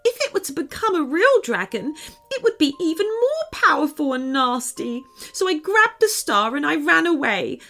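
A woman's voice: sits high, very animated, unhurried, a bit bright, soft background music, pitched above the performer's own voice, full character voice. A woman voicing a small, frightened pointy-eared girl from a storybook, speaking in a shaky, tearful, earnest voice.

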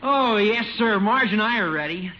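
An actor playing an earnest, lovestruck young bridegroom, polite and corny, in a radio sitcom. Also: male voice, high voice, lively intonation, normal speed. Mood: polite, eager. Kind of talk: 1950s radio sitcom acting. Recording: muffled 1950 radio recording, faint noise.

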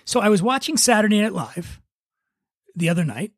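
A man's voice: sits high, sing-song, normal speed, no echo, clean studio sound. A man hosting a podcast in casual comic chat, with a joking, self-deprecating manner. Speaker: podcast host.

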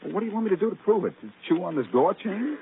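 Cocky old-radio detective, swinging pitch. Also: male voice, big pitch swings, rapid-fire, vocal fry, full character voice. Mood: wry. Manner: wry, flippant, bantering. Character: wisecracking reformed-crook amateur detective, cocky and quick-witted. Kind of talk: 1940s radio drama acting. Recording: scratchy old radio recording, muffled, hissy.

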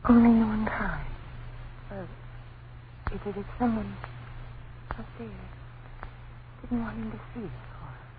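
Terrified wife and mother played by a woman, mid-pitched, halting, dramatic, heavy hiss, 1930s radio horror. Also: lightly acted. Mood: shaken. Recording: noisy, tinny 1930s radio recording.

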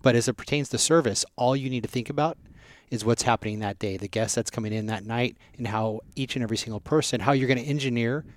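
A man from Southern California raised in Las Vegas, a restaurant group president answering interview questions in a measured way with frequent restarts. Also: medium pitch.

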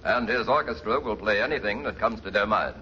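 A man's voice: on the low side, brisk, bright, forceful tone. A man announcing on an old-time radio comedy broadcast in a vintage announcer style. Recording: dull vintage radio recording, audience noise.

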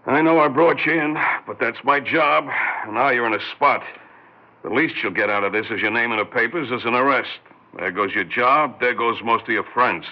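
An actor playing a gruff, world-weary male security guard in a 1960s radio drama, blunt and streetwise. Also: medium pitch, normal speed, natural voice. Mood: blunt pity. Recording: muffled 1960s radio recording.

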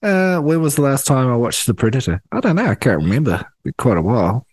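A man co-hosting a podcast by remote call, deadpan and given to giggling as he feeds jokes. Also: medium pitch, fast talker, husky, no room sound, clean studio sound. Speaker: podcast co-host. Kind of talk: casual podcast banter. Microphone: remote call mic.

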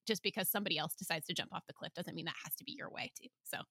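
A woman's voice: on the low side, lively intonation, very fast, vocal fry, pushed and bright, husky, dry recording. A woman podcast host interviewing casually, with chatty, encouraging remarks and long rambling questions.

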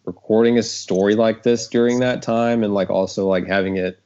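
A man with a deep voice hosts a casual discussion. He speaks informally, with loose phrasing. The recording is slightly lo-fi. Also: steady tone, normal speed, warm tone. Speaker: host and show creator.

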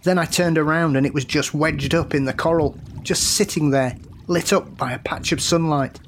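A man's voice: on the high side, fairly even intonation, moderate pace, a little hiss or hum, lightly acted. A man playing a grieving, defensive brother, a worn-out diver, in blunt, terse audio drama acting. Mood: haunted, subdued.

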